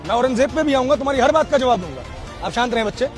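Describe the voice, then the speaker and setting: High-pitched man debating insistently. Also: expressive, very fast. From Rajasthan, India. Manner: insistent, lecturing, repeats questions. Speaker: street debater. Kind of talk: impassioned street debate, question and answer. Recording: music behind the voice. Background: music.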